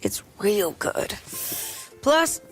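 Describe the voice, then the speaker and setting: An actor playing a wry, cocky frontier bounty hunter in a video game, speaking casually with a gritty American voice and folksy turns of phrase. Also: male voice, sits high, huge pitch swings, extremely slow, soft background music, exaggerated voice. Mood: matter-of-fact, coaxing. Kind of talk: video game cutscene acting.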